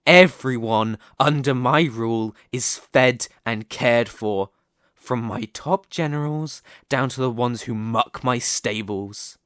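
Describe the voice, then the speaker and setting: A dominant Demon King played by a man speaks at a slow, deliberate pace in a mid-range male voice. He is smug, mocking and theatrical, coaxing his listener like a villain sure of winning. It is an ASMR roleplay monologue, recorded close and very dry. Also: mid-range voice, fairly bright tone, dry recording. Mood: proud, insistent.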